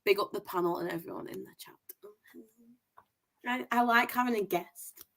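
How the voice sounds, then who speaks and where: A woman's voice: medium pitch, normal speed, creaky, fairly bright tone. A young woman from Manchester who sounds posh, hosting a casual football results show and reeling off scores with upbeat enthusiasm. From Manchester, England. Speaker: host of a women's football review show. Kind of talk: casual livestream results roundup.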